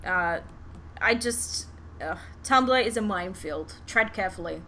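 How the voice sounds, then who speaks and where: Woman, podcast host, casual animated chat, swearing, forceful bright tone, noisy recording. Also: mid-range voice, unhurried. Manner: animated, sweary, giggly retelling. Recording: clear background noise.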